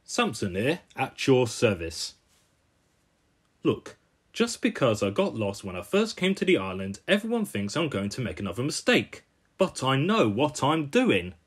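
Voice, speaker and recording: A man's voice: mid-range voice, sing-song, measured pace, slightly rough. A self-assured cartoon steam engine, acted by a man. He sounds defensive and keen to prove himself.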